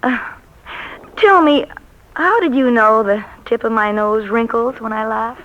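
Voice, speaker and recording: A woman's voice: on the high side, measured pace, natural voice. An actress playing a teasing, self-possessed lady novelist in a 1940s radio romance. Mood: coy, teasing. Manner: coy, playful. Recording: dull, noisy vintage radio recording.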